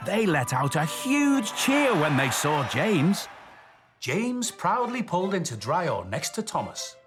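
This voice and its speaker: Male kids' storyteller: high-pitched, hugely animated, clear expressive delivery for little ones. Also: takes it slowly, music in the background. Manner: expressive, clearly enunciated for young children. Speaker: children's storyteller and presenter. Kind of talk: children's storytelling and presenting.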